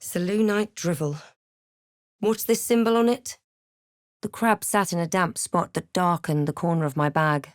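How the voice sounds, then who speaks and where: A snarky adult female fantasy adventurer, acted by a woman for a video game. Her voice sits a little low and is recorded close and dry. She speaks in a crisp, theatrical way, proud and sardonic. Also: a little slow, no room sound, lightly acted. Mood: dry, scornful, then wary, curious. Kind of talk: scripted game character lines and narration.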